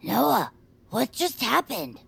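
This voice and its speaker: An actress playing an excitable, earnest, anxious sidekick with a squeaky, creaky voice in a comedy audio drama. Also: female voice, slow pace, slight background noise, exaggerated voice. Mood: alarmed, earnest. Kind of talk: audio fiction comedy acting.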